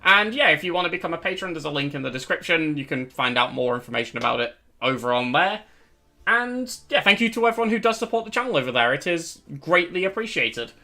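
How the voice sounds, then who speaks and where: A man's voice: on the high side, big pitch swings, fast, gravelly, bright, forceful tone. A young man hosting a live stream in a casual, rambling way, his voice cracking as he loses it. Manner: rambling, self-correcting, chatty. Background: faint background music.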